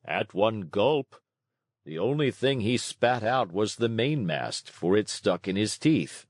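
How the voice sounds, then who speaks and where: A man voicing a weary, gentle old father with a measured, tender delivery in a storybook read-along. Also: on the low side, sing-song, no room sound, heavily acted. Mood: resigned. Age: older.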